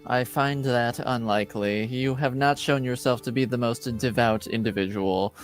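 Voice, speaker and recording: A game master voicing Cyril's type of character, a formal, emotionless tranquil mage who speaks in a measured, matter-of-fact way. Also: mid-range voice, soft background music, lightly acted. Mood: flat, formal.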